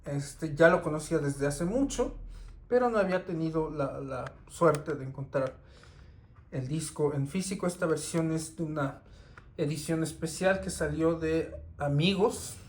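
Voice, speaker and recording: A man, talking casually and unhurriedly through his CD collection, with many pauses and restarts. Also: on the high side. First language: Spanish. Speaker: record collector.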